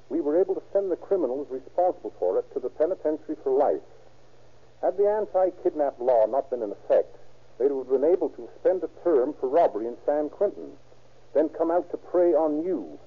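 A man's voice: on the high side, big pitch swings, brisk. A man giving a formal, emphatic scripted address as a police captain on 1930s radio. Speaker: police captain introducing a crime story. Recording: muffled, husky-sounding 1930s radio recording.

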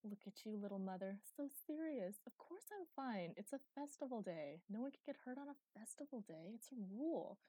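A woman voicing a cocky, playful teenage boy acrobat in an audiobook, chattering in an excitable, teasing, boastful way. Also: mid-range voice, moderate pace, no room sound, natural voice, poor audio. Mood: jubilant, cocky. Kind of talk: audiobook character dialogue.

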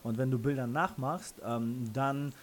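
A man with a Russian accent, a native Russian speaker, answering interview questions casually in halting, self-correcting phrases. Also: mid-range voice, steady tone, a little hiss or hum. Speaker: interview guest, freelance photographer and videographer.

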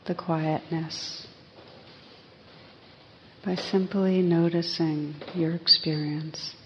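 Slow, low-voiced woman guiding meditation. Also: steady tone. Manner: gentle, unhurried, pausing between phrases. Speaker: meditation teacher. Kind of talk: guided meditation. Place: live evening group session. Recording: slightly muffled live recording, faint hiss.

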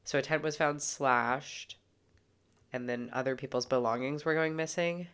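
Co-host type: woman, stuffy and congested, very low-pitched voice, chatty and loose with filler words. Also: fairly even intonation, normal speed, a bit bright, clean tone. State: congested from a sinus infection. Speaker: podcast co-host. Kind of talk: casual true-crime podcast chat.

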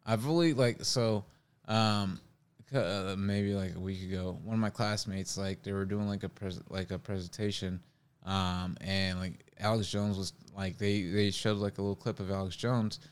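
Young man as podcast guest: clean lowish voice, loose slangy chatter. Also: fairly even intonation, moderate pace, smooth. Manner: rambling, slangy. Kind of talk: casual chat.

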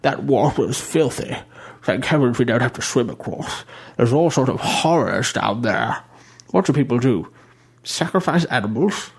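A man voicing a fussy, sweet-obsessed male bishop who talks in a prissy, gushing way, set back from the mic and higher than his own voice. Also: expressive, moderate pace, gravelly, slightly rough. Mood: squeamish.

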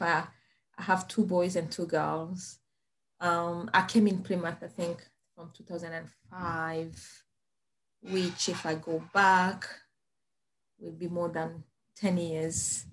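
Hesitant woman from Rwanda, lowish voice. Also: fairly bright tone. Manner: hesitant, many fillers and restarts. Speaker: podcast guest, business founder. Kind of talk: casual interview storytelling.

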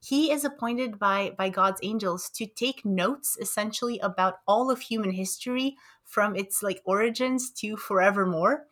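A woman's voice: medium pitch, moderate pace, hardly any pauses, a bit bright. A young woman co-hosting a podcast, playful and curious, asking probing questions in casual talk. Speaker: podcast co-host.

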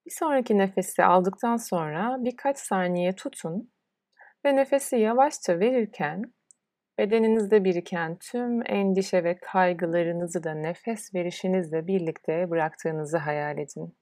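A woman leading a guided relaxation as a yoga nidra instructor, speaking in a soothing, unhurried way with pauses between instructions. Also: lowish voice, darkish tone, no echo, studio quality.

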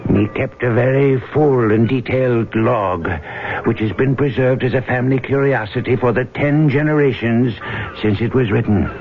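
An actor playing a shrewd, wealthy older expedition boss in an old-time radio drama, speaking in a measured, businesslike way. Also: male voice, medium pitch, lightly acted. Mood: secretive, assured. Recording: noisy, tinny old radio broadcast.

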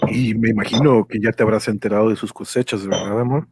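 A man's voice: fairly low pitch, steady tone, fast talker, a little mellow, slight background noise, natural voice. A man playing a laid-back, affectionate husband and father in an amateur radio drama. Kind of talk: amateur Spanish-language radio drama acting.

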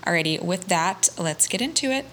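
A woman's voice: fairly low pitch, lively intonation, fairly quick. A young woman hosting a podcast from a small hostel kitchenette, chatty and upbeat with casual fillers. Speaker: podcast host. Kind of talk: casual podcast intro and interview.

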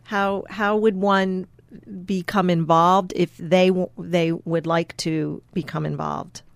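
Gravelly-voiced radio co-host woman. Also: fairly low pitch, steady tone, slow, no room sound. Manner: friendly, laughing, effusive. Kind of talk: radio interview.

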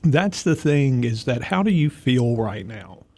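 A man's voice: mid-range voice, very animated, a little slow, warm tone. A man hosting a casual talk show, stuffy from allergies, giving folksy, encouraging advice straight to a listener. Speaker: host.

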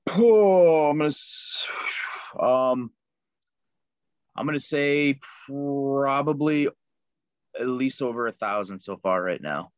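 A man's voice: medium pitch, lively intonation, slow, long pauses, clear, resonant tone, no room sound, lo-fi sound. A man guest talking casually about the fishing lures he makes, easygoing, with many ums and fillers. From Liverpool, New York. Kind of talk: casual interview chat.

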